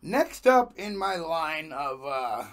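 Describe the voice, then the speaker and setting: A young man hosting a reaction video in casual slang, his voice hoarse, talking at a small home studio desk mic. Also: fairly high pitch, big pitch swings, slow, a bit bright. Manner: slangy, laid-back, laughs often. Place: small home studio room with jerseys on wall. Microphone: large desk microphone in front.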